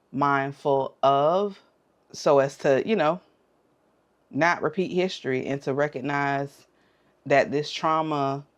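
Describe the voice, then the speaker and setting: A woman giving casual, reflective commentary as a reaction host, halting and thoughtful. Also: very deep voice, clean tone.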